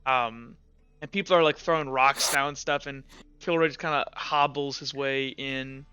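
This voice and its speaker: Bright-voiced man narrating a tabletop game. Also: mid-range voice, fairly even intonation, clear, resonant tone, dry recording. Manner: halting, casual, conversational. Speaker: game master. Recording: slightly dull, lo-fi audio. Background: music.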